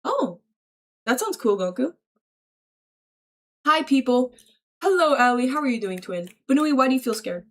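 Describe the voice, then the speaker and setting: A woman's voice: sits high, sing-song, moderate pace, long pauses, a bit bright. A woman livestreamer casually reading and answering viewer messages aloud in a slangy, chummy, thankful way. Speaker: livestreamer greeting chat.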